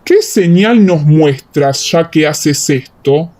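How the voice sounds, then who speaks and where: A questioning Judean elder from a scripture radio play , performed by a woman. He takes his time over each phrase, measured and puzzled. The voice is mellow and pitched down. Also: animated. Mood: challenging.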